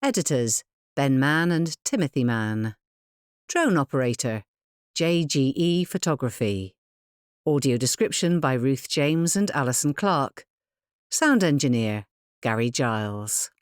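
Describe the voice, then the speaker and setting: Slow, measured credits narrator, close and dry. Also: mid-range voice, very animated, smooth, dry recording. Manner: measured, announcing names and credits plainly. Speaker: audio describer reading end credits. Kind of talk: scripted audio description narration.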